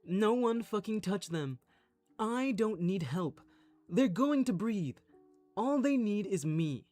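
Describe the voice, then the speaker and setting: A man performs a commanding yandere bad boy in a dramatic audio roleplay. He is foul-mouthed, possessive and aggressive, drawing his words out slowly. Music plays behind him. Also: sits high, animated, darkish tone, no room sound, voice pushed up. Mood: desperate, possessive.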